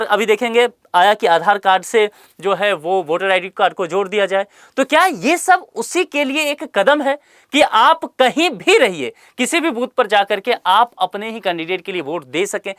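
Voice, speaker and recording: A woman teaching a current affairs lesson for exam students, with a lively, rising and falling voice. She speaks straight to the viewer, asks rhetorical questions and gives everyday examples. The recording is close and dry. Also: medium pitch, no room sound. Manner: explanatory, addresses viewers directly with rhetorical questions.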